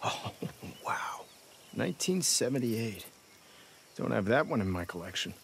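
An actor playing a middle-aged American doctor and devoted father, gentle but resolute, in a naturalistic video game style. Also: on the low side, lively intonation, unhurried, vocal fry, a little hiss or hum, full character voice. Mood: warm, teasing. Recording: music under the dialogue.

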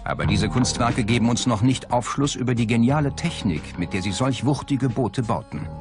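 Low-voiced man narrating a documentary over music. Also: moderate pace.

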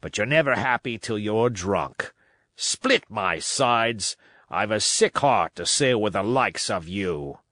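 A man's voice: lowish voice, animated, takes it slowly, pushed and bright, no room sound, studio quality, full character voice. A man reading a storybook, voicing a shrewd, domineering pirate sea cook with salty, emphatic sailor talk. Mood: scornful.

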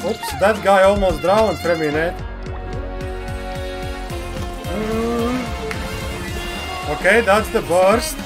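A man in his thirties reacts casually and excitably in a high-pitched voice. He takes his time, repeats phrases and comments off the cuff, with prominent trailer music behind him. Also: slow pace. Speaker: reaction video host. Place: indoor room. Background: game trailer music.

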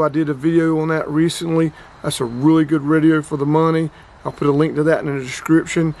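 A man's voice: fairly high pitch, a little mellow. A man in his fifties, talking casually and a bit ramblingly through a gear demo in a small indoor room, recorded on a close camera mic. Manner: plainspoken, rambling, repeats numbers. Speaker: outdoors and radio hobby vlogger.

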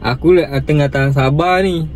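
A young Malaysian man speaks in a slightly high voice. He keeps up an insistent, questioning, casual phone manner. He is recorded in a car cabin with faint noise behind him. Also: moderate pace, a little mellow. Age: 20s. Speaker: prank caller. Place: inside a parked car.

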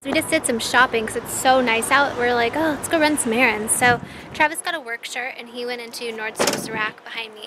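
A woman's voice: on the high side, noisy background. A young woman vlogging to a handheld camera, chatty and giggly, talking straight to her viewers. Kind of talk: casual vlog chat. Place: car, then outdoors and at home. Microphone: handheld camera at arm's length.

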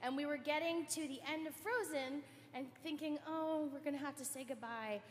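A woman's voice: sits high, normal speed, slight background noise. A woman songwriter speaking earnestly about her work on a large stage. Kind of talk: stage remarks. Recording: arena sound with long reverb.

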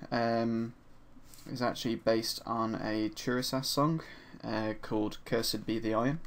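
A man with a gravelly, slightly hoarse voice talks through a screen-recorded lesson at his desk. He is relaxed and chatty, filling pauses with ums and uhs as he explains each step. His voice sits at an ordinary middle pitch. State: slightly hoarse from a sore throat. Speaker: 3D art instructor. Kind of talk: screen-recorded software tutorial narration.

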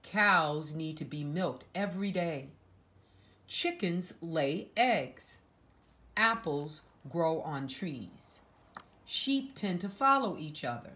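A woman storyteller reads a picture book for small children. Her pace is very slow and her pitch swings widely for dramatic emphasis. The voice sits a little low, and she drops into hushed asides to the young listeners. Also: expressive. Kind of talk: expressive read-aloud storytelling for young children.

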